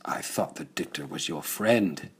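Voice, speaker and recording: Man playing a husky, defiant pirate captain. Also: rumbling low, a little slow, fairly bright tone, heavily acted. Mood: defiant, wary. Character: big, defiant red-headed pirate captain, wary and sardonic.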